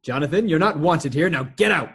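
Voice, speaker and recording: An actor playing an indignant, sardonic brother in a radio play, scornful and emphatic. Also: male voice, fairly high pitch, lively intonation, a little fast, breathy edge. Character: indignant, sardonic brother confronting a hated sibling.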